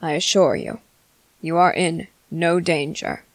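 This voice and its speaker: A woman voicing a composed, menacing male vampire commander in an ASMR roleplay, speaking formally and deliberately with dramatic pauses. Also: low-pitched, sing-song, vocal fry, no echo, heavily acted. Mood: calm, ominous. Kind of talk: ASMR roleplay monologue to the listener.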